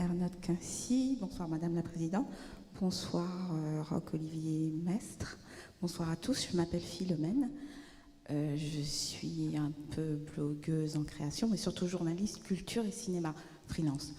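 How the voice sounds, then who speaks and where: A woman who grew up in France, a journalist, putting a formal, deliberate question from the floor. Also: lowish voice, dark tone, a little hiss or hum. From born in Benin, grew up in France. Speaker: freelance culture journalist asking an audience question. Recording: very echoey large hall.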